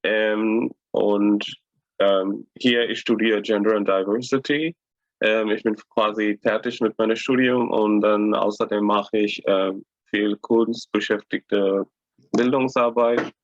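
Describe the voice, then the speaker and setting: A man from Tamil Nadu whose first language is Tamil, introducing himself slowly and hesitantly as a workshop facilitator. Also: on the low side, steady tone, no room sound. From Tamil Nadu, India. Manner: slow and hesitant, with many fillers and soft laughs. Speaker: workshop facilitator and political artist. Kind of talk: self-introduction. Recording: dry, dull lo-fi sound.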